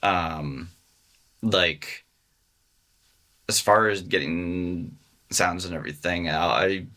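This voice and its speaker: A man, a music engineer guest, talking casually in an interview, pausing often with fillers. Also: sits low, sharp tone. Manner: hesitant, many fillers.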